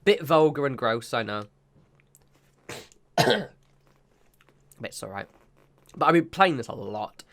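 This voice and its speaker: A man at a middle pitch talks over his gameplay in a loose, rambling way, often stopping to correct himself. He sounds a bit sniffly from a cold. It is relaxed, casual commentary into a desk mic. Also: takes it slowly, long pauses. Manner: rambling, self-correcting, chatty asides. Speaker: gaming channel host.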